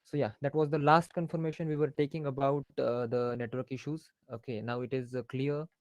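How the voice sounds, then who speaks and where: A man's voice: mid-range voice, level tone, fairly quick, darkish tone, no room sound. A man with an Indian accent teaching maths in an online class over a headset mic. He hesitates often, fills gaps with "uh", and slips between English and Hindi mid-sentence. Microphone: faulty headphones on an online call, voice low.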